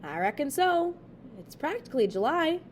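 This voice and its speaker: A woman's voice: high voice, very animated, normal speed, a little hiss or hum, voice pushed up. A woman voicing an eager, outgoing, folksy girl in a storybook read-aloud, with an emphatic way of talking. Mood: folksy, upbeat.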